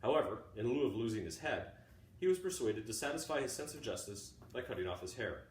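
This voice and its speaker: Middle-aged man, teacher reading to listeners, deliberate phrasing with pauses, echoey room, faint background noise. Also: mid-range voice, expressive, darkish tone. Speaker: teacher reading aloud for school families. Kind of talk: informal introduction and measured read-aloud of a classic book. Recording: roomy, distant mic, faint background noise.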